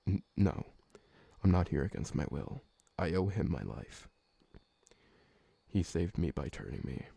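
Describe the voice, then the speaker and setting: A man playing a gentle, awkward, polite old vampire servant who talks hesitantly and deferentially in an ASMR roleplay. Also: sits low, big pitch swings, measured pace, gravelly, breathy edge, no room sound, heavily acted. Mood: friendly, reflective. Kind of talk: ASMR roleplay audio drama.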